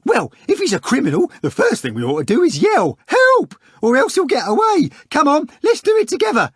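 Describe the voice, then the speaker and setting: A man voicing a sleazy, clowning ex-choirmaster with a shrill, mocking, wheedling manner. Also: huge pitch swings, brisk, no room sound, clean studio sound, exaggerated voice. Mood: gleeful mocking. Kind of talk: audiobook character voice.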